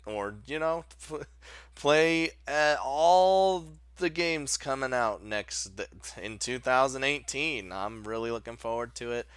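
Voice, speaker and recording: A man's voice: medium pitch, animated, takes it slowly, a bit bright, clean tone, no room sound. A man hosting a casual countdown video, rambling informally as he talks. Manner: rambling, informal, chuckles often. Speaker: video host. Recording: music playing behind the voice. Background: background music.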